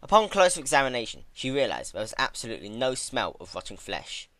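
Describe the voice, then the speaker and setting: A man giving a dramatic narrated reading of a dark fan fiction story. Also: mid-range voice, huge pitch swings, quick pace, no room sound.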